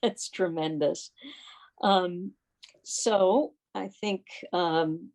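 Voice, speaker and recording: Slow-talking, lowish-voiced woman hosting. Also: a little mellow. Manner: effusive, hesitant phrasing. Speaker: meeting host. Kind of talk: unscripted club meeting talk on a video call. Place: remote video call.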